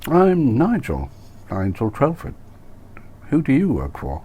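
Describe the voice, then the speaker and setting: A man's voice: medium pitch, huge pitch swings, slow, dark tone, slightly rough, pitched above the performer's own voice, full character voice. A man playing a courteous, eager, lovestruck office worker in an audiobook novel. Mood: courteous flirting.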